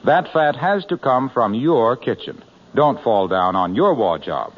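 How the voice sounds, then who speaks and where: A male announcer reading commercials and sign-offs for a 1940s radio show, in a smooth, persuasive manner. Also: medium pitch, a little slow. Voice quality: smooth, persuasive, lively delivery. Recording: muffled old radio broadcast.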